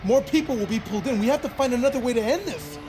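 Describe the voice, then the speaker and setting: Earnest, worried ally played by a woman, mid-pitched and expressive, heavy score beneath. Supernatural audio drama. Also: fast talker, over music, close to an everyday voice. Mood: grave, worried. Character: grave, anxious companion urging resistance to supernatural evil. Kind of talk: audio fiction drama acting.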